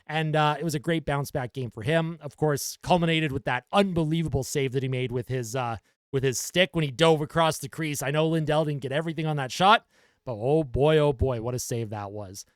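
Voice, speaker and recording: A young man hosting a casual post-game hockey fan show, reading out comments with animated enthusiasm while losing his voice. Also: fairly high pitch, fairly quick, no echo. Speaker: fan reaction show host.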